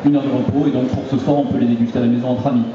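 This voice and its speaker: Fast-talking male event host in a hall. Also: mid-range voice, noisy background. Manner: upbeat, quick, flattering crowd-warming patter. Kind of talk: live stage hosting. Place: indoor fair hall with a crowd. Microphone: handheld microphone. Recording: distant, echoey, muffled hall recording. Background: crowd chatter.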